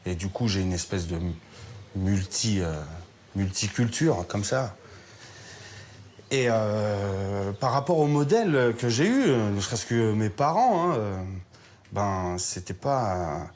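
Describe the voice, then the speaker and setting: A man from France with a fairly low voice reflects aloud in an interview. He hesitates, fills pauses and restarts his sentences as he thinks. A faint ambience hangs behind him. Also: animated, darkish tone, a little hiss or hum. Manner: hesitant, many fillers and restarts, thoughtful.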